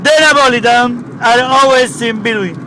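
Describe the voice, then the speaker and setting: A man's voice: very high voice, normal speed, bright, forceful tone, a little hiss or hum, pitched above the performer's own voice, rough recording. A man with an Italian accent playing a rambling, pompous professor in broken English. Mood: grandiose.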